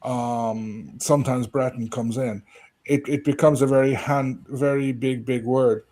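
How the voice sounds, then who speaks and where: A man explaining history in a measured, knowledgeable way, with small restarts. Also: mid-range voice, steady tone, mellow. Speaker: history commentator. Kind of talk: casual discussion.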